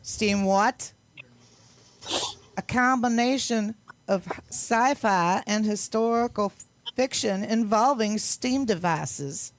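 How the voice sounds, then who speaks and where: A woman's voice: fairly high pitch, slow pace. A woman playing a curious, agreeable club newcomer in an amateur audio play.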